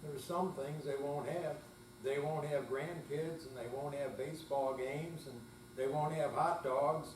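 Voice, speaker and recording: A man's voice: a little high, steady tone, a little slow, a little mellow, close to an everyday voice. Man acting a folksy old grandpa, echoey and distant. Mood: wistful. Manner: plain-spoken, folksy phrasing. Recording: distant, echoey room with faint mains hum.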